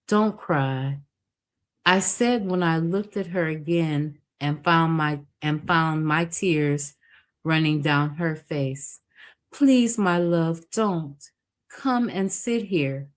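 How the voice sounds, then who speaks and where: A woman's voice: low-pitched, slow. A woman reading a remorseful young man. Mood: pleading.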